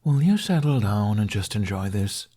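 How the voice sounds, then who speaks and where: A man's voice: on the low side, very animated, moderate pace, warm tone. A man voicing a lazy, smug, teasing dragon in a fantasy audiobook, with a drawling delivery. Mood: lazy, unbothered.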